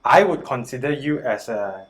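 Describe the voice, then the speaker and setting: A man hosting an interview, introducing his guest and asking questions in a polite, measured way with hesitant starts. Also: medium pitch, expressive. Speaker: interviewer, host.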